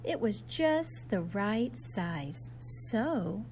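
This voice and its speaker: A woman reads a storybook to young children at a slow, measured pace. Her delivery is expressive and teacherly, speaking directly to her little listeners. There is some light noise behind her voice. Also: mid-range voice. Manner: expressive, addressing young listeners with simple questions and asides. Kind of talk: children's picture-book read-aloud.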